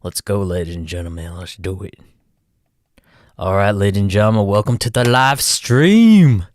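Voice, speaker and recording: A man with a Texan accent hosting a livestream, giving casual, folksy chart commentary and often calling out to "ladies and gentlemen". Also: fairly low pitch, lively intonation, moderate pace, no echo. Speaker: livestream host, market analyst.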